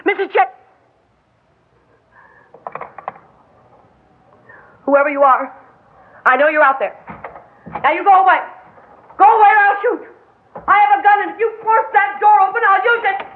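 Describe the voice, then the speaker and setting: A woman's voice: extremely high pitch, fairly quick, pauses often, phone-quality sound. An actress playing a frightened, anxious married woman, pleading and defensive, in a vintage radio drama. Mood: panicked. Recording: band-limited old radio broadcast recording.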